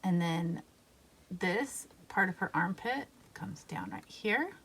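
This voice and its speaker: A woman's voice: lowish voice, lively intonation, slow pace, fairly bright tone, a little hiss or hum. A woman in her thirties leading a guided drawing lesson, giving patient step-by-step instructions. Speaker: art teacher. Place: home art studio.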